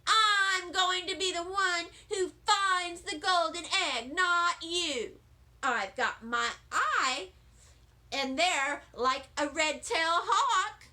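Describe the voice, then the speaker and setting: A woman's voice: very high voice, slow pace, sharp tone, voice pushed up, full character voice. A woman voicing an indignant, competitive first-grade girl, scolding and emphatic, in a children's storybook. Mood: defiant shouting. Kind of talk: children's storybook read-aloud.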